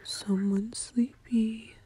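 A woman's voice: on the high side, level tone, drawn-out words, mellow, a little hiss or hum. A woman in an intimate girlfriend ASMR roleplay, mostly whispering close to the mic in an affectionate, playful, sleepy murmur.

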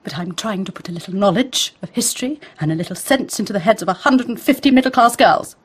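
An actress in a radio play as a sharp, embittered English schoolmistress who lectures disapprovingly in clipped phrases. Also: female voice, mid-range voice, fast talker, a little hiss or hum, lightly acted. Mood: critical. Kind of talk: radio drama acting.

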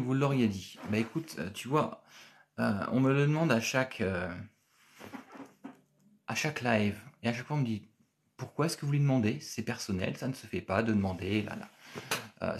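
A man, hosting a casual live stream from a small office and answering viewer comments, chatty and repetitive with many filler pauses. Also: on the low side, vocal fry, slight background noise. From France. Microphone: phone camera at arm's length.

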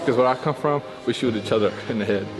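A man's voice: medium pitch, quick pace, poor audio. A man from Iraq speaking bluntly in a documentary interview, in a boxing gym. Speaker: fighter.

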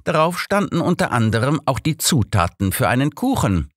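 A man's voice: medium pitch, very animated, moderate pace, no echo, clean studio sound, voice pushed up. A man voicing a grumpy, sardonic old detective in an audiobook, speaking in a haughty, formal, emphatic way. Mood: smug. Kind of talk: audiobook character reading.

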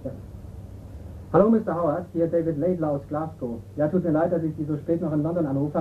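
An actor playing a sober, probing investigator in a radio crime drama, speaking in a measured, questioning way. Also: male voice, on the high side, natural voice. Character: sober, probing male investigator, disappointed in his friend. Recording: tinny band-limited radio recording with hiss.

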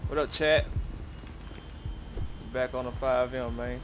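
A man's voice: mid-range voice, fairly even intonation, slow pace. A man chatting casually and slangily with fellow players in an online game. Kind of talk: casual gaming chat. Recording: game voice chat, vehicle noise behind.

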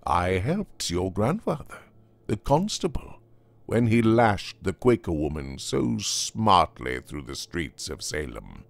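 Man voicing a sly, slow-talking older devil. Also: low-pitched, very animated, gravelly, no echo, full character voice. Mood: smug, familiar. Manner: unhurried, archaic formal speech. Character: worldly, sly older devil traveller, about fifty, smoothly persuasive. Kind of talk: dramatised audiobook reading of a classic tale.

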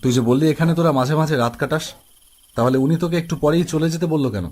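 A man's voice: a little high, fast talker, a little mellow, close to an everyday voice. A man voicing an eager young city visitor in a cartoon ghost story, with music behind. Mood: curious, insistent.

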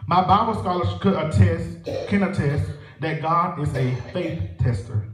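A man's voice: on the high side, measured pace. A young man with a Southern US accent, preaching a sermon and leading prayer in a church sanctuary with rhythmic call-and-response delivery. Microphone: stand mic at pulpit, filmed by far camera. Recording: echoey church from far off. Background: organ music.

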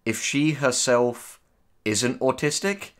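A man's voice: mid-range voice, expressive, takes it slowly. A man co-hosting a casual reaction commentary, blunt and opinionated in how he talks. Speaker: co-host, writer reading out and reacting to tips.

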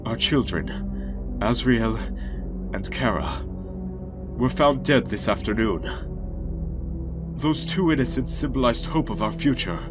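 A deep-voiced goat-monster king acted by a man speaks with wide emotional swings. He is gentle and grieving but regal, in a dramatic cartoon dub with music underneath. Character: grief-stricken gentle goat-monster king, turning resolute.

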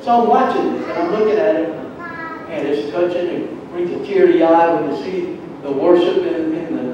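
A man giving a personal testimony in church in a conversational, halting storytelling way, acting out bits of dialogue. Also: a little high. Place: large church sanctuary, indoors. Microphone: far camera at back of the room. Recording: echoey church hall, distant mic, muffled, noisy.

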